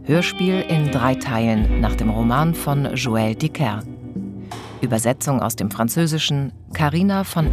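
A woman announcer giving a scripted reading of radio drama credits. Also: rumbling low.

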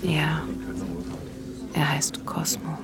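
An actress in a German radio drama playing a mysterious, teasing woman who seeks help, softly and often half-whispered. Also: low-pitched, little pitch movement, very slow, heavily acted. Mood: guarded, teasing. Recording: loud music under studio dialogue.

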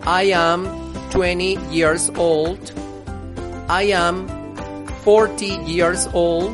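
Slow, careful woman teaching English. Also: lowish voice, little pitch movement, over music. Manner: slow, careful, repeating example phrases. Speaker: English teacher on a school radio program. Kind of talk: slow scripted radio lesson.

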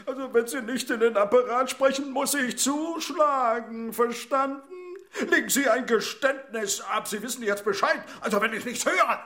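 Shrill, threatening male interrogator. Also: normal speed, sharp tone. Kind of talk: literary radio play acting.

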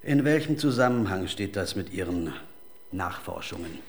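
An actor playing a smug, evasive music manager in a radio crime drama, coldly businesslike, formal and condescending. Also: male voice, low-pitched, animated, moderate pace. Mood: condescending, cool.

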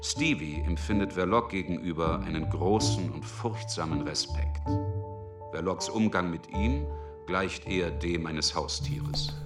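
An actor giving sober, explanatory scripted narration for a German radio crime drama. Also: male voice, low-pitched, a little slow, over loud music.

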